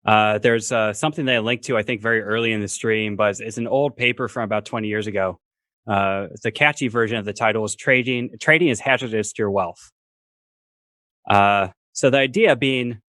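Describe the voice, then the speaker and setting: A man speaking as a guest expert on a video call, explaining step by step in a measured, academic way with worked examples. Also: on the low side, a bit bright, no room sound. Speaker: finance professor, guest expert. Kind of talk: explanatory talk on a livestream.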